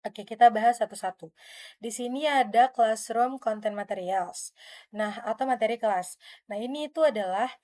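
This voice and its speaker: Halting young Indonesian woman explaining. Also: on the high side, darkish tone. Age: young adult. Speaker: student presenter. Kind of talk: informal tutorial explanation of an on-screen demo.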